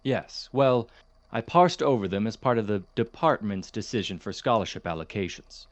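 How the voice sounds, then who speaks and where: An actor playing a courteous, dismissive chemistry professor in an audio drama, speaking in a formal, measured, gently condescending manner. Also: male voice, mid-range voice, natural voice. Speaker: substitute lecturer. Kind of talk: audio drama, lecture and office talk.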